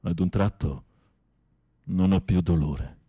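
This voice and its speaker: An actor in an Italian radio drama playing a sensitive prisoner with a literary, dramatic, expressive way of speaking. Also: male voice, sits low, takes it slowly, husky, no room sound, clean studio sound, heavily acted. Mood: melancholy. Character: theatrical, sensitive male prisoner telling melodramatic tales.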